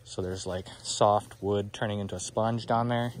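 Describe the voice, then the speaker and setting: A man giving a relaxed walking tour of his garden outdoors, with lots of "um, you know," filmed on a handheld phone. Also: fairly low pitch, moderate pace, background noise. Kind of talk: casual walking tour explanation. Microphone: phone camera held by the speaker.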